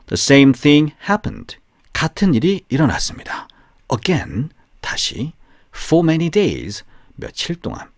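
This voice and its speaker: A man narrating a scripted bilingual fairy tale for language learners, deliberately, phrase by phrase, in a storytelling delivery. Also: medium pitch, dry recording, studio quality. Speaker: audiobook narrator.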